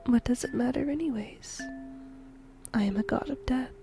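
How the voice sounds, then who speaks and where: A woman playing a whimsical death god in a whispered ASMR roleplay, gentle, musing and playful, breathy and close to the microphone. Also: sits high, moderate pace, loud music behind the voice. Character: whimsical female shinigami death god fond of humans.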